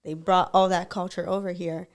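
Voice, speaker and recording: A woman's voice: lowish voice, level tone, moderate pace. A young woman co-hosting a podcast, chatting casually with many fillers and frequent laughs. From United States. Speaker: podcast co-host. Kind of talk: casual chat.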